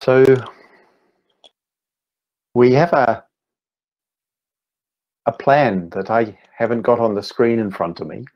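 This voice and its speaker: A man in his fifties with a New Zealand accent, giving an online talk as a meditation teacher, speaking slowly and deliberately with gentle pauses. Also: medium pitch, muffled recording. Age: 50s. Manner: slow, deliberate, gently pausing. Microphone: video call.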